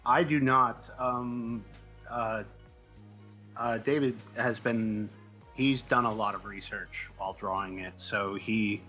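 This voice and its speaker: A man speaking as a guest writer in a casual interview, answering in a hesitant, halting way with trailing asides. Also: on the low side, fairly even intonation, pushed and bright, faint music behind, poor audio. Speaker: comic book writer, guest.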